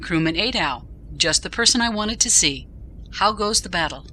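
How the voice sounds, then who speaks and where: A woman playing a dutiful starship captain in an audio drama, with a dry, measured delivery. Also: medium pitch, lively intonation, pushed and bright, a little hiss or hum, rough recording. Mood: brisk, official. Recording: music behind the voice.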